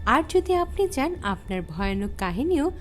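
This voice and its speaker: A woman's voice: high voice, sing-song, normal speed, soft background music. A woman reading a scripted promo as a channel announcer.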